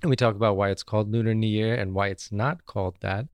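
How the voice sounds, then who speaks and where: A man hosting a travel podcast, speaking in a relaxed, friendly way with frequent fillers. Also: lowish voice, quick pace, no echo. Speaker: podcast host. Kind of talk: casual podcast interview.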